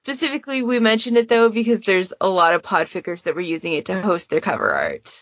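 A woman's voice: medium pitch, very animated, quick pace, no echo, highs cut off. A woman hosting a podcast in a casual, chatty style. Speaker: podcast host.

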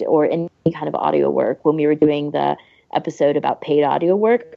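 Gravelly mellow woman cohost, casual chat, dry slightly muffled lofi audio. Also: deep voice, no room sound. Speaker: podcast co-host. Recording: slightly dull lo-fi close recording.

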